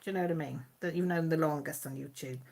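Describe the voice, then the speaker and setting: A woman's voice: low-pitched, fast, gravelly, a little mellow. A woman with a Mid Wales Radnorshire accent, hosting a casual live chat on her channel, reading out comments and laughing often. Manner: chatty, homely, laughs often. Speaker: YouTube channel host.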